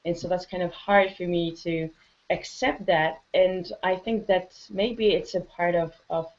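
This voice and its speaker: Hesitant low-voiced woman guest. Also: fairly even intonation, darkish tone, highs cut off. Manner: hesitant, reflective, many pauses. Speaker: guest, language learner. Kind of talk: casual conversation.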